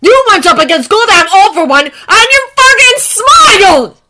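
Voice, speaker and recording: A woman voicing a furious teenage boy with an explosive temper, shouting and swearing in a fan fiction reading. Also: medium pitch, lively intonation, brisk, heavy background noise, pitched above the performer's own voice, lightly acted.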